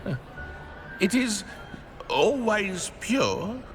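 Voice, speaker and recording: A man's voice: sits high, big pitch swings, drawn-out words, faint music behind, exaggerated voice. An actor voicing a small, servile house-elf in a video game, stammering anxiously and fawning over his master. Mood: nervous, fawning. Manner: stammering, obsequious, speaks of self in third person.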